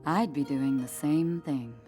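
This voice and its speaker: A wise, poised warrior woman in a fantasy game cutscene, with a low voice and slow delivery. She sounds knowing, solemn and gently teasing, with faint music underneath. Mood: solemn, sympathetic. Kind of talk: video game cutscene acting.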